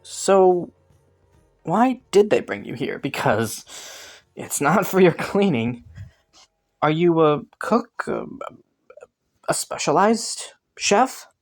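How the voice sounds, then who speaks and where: A woman voicing a kind, playful young prince in an intimate roleplay drama, speaking politely with hesitant rambles and gentle teasing. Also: fairly low pitch, sing-song, slow pace, pauses often, heavily acted. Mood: amused, teasing. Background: faint music.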